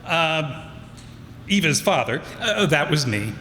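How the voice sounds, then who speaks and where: A man announcing cast credits live, speaking off the cuff and pausing between names. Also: a little high, very animated. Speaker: announcer and troupe member reading cast credits. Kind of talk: live unscripted cast introductions. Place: hall before a live audience. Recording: echoey hall, loud audience noise.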